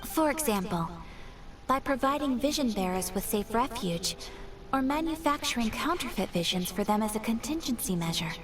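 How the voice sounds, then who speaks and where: Casting: actress for a poised young clan noblewoman in a fantasy game; higher register, precise courteous delivery, a little off-mic. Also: lively intonation, recorded from a distance, over music, natural voice. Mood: grave. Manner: formal, measured, precise diction. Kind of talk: scripted fantasy game voice acting.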